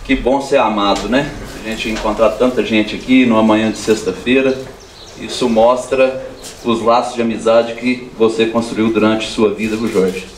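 A man's voice: on the low side, level tone, moderate pace, slight background noise. A man in his forties with a Brazilian accent, speaking informally as an official at a public ceremony on a handheld microphone. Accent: Brazilian Portuguese. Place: crowded room at a public ceremony. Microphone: handheld microphone over PA. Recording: echoey amplified room sound.

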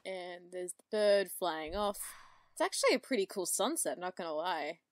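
A woman giving casual, excitable and gushing reaction commentary. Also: on the low side, moderate pace, no echo, clean studio sound.

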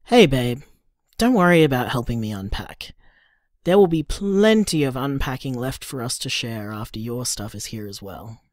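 An affectionate young Australian man , played by an actor, leaves earnest, gently rambling messages in an audio drama. His voice is mid-pitched for a man. The sound is close and dry. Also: dry recording, lightly acted. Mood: caring.

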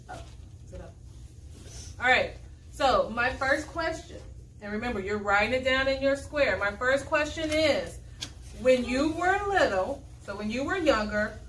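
A woman's voice: on the high side, a bit bright. A young woman teaching in a dry, instructive manner, leading a classroom read-aloud with questions and frequent check-ins. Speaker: primary school teacher. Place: school classroom, indoors. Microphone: camera a few metres away. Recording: echoey classroom, distant mic, background noise.

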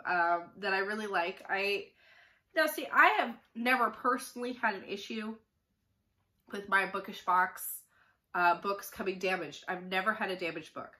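Woman, middle-aged, online book reviewer. Bright, medium-pitched voice, nasal and congested from flu, informal chatty delivery. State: stuffy, recovering from the flu. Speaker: book reviewer hosting an unboxing. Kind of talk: casual chat to camera. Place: small furnished room indoors.

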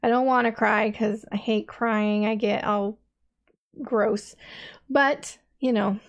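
A woman's voice: fairly high pitch, steady tone, slow, vocal fry, highs cut off. A woman in her thirties hosting a reaction video, thinking aloud in a rambling, casual way with many fillers, in a small dim room.

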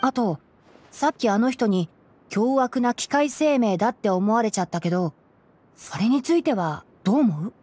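A woman voicing a calm, clever young male forest ranger with a composed, explanatory, boyish manner in an anime game. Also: fairly high pitch, moderate pace, background noise, lightly acted. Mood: gently teasing. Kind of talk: Japanese anime game voice acting.

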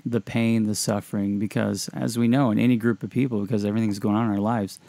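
A man's voice: lowish voice, level tone, fast talker, vocal fry, dark tone, dry recording. A man hosting a podcast discussion about faith in a reflective, conversational style. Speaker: podcast host.

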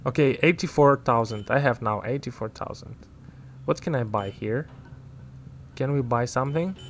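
A man's voice: mid-range voice, moderate pace, slight background noise. A man whose first language is Ukrainian, rambling through casual gameplay commentary as a gaming YouTuber, often correcting himself.

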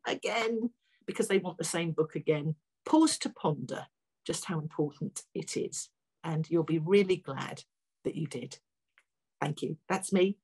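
Woman, 60s, slightly low husky voice, informal and encouraging advice talk over a video call. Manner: encouraging, conversational. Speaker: guest speaker. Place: furnished room at home. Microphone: video call, laptop microphone.

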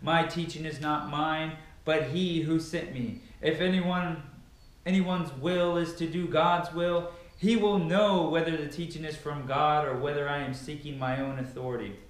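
A man voicing a solemn male preacher addressing a crowd, slow and emphatic, recorded in an echoey bare room from a far camera. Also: on the high side, steady tone, close to an everyday voice.

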